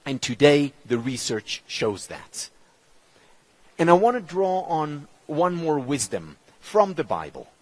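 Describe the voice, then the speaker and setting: A man whose mother tongue is Hebrew gives a motivational conference talk in English, with measured, emphatic phrasing and deliberate pauses. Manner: lively, expressive pitch, dropping low on key words. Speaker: keynote speaker.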